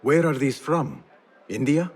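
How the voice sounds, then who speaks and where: Husky, guarded young man. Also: mid-range voice, expressive, a little slow. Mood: wry. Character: guarded, secretive young man, former street thief turned agent. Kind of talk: video game character acting.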